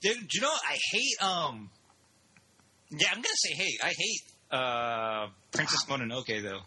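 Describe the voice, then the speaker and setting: A man with a very bright, slightly husky voice and lively swings in pitch. He chats casually, eager and opinionated. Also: measured pace, rough recording. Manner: enthusiastic, opinionated, says "dude". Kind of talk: casual chat.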